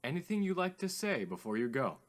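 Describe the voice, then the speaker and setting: A man playing a theatrical, lively-voiced radio host. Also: a little high. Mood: polite, theatrical. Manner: formal, genteel, drawn-out greetings. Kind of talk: radio drama acting as call-in host.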